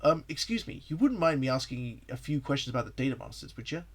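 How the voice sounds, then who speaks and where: A man's voice: medium pitch, big pitch swings, quick pace. A man voicing a curious, polite male echidna journalist while reading fan fiction aloud. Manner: polite, inquisitive.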